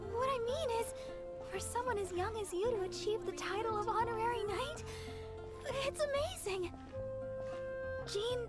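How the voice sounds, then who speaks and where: A woman playing a sweet, humble young deaconess and songstress in a fantasy game, speaking gently, earnestly and apologetically. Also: very high-pitched. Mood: earnest, bashful. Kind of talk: animated game voice acting.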